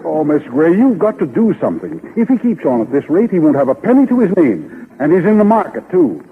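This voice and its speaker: An actor playing an anxious, exasperated business manager in a 1930s radio comedy, on a muffled, reverberant old recording. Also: male voice, on the high side, big pitch swings, fairly quick, slight background noise. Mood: fretful. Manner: fretful, agitated.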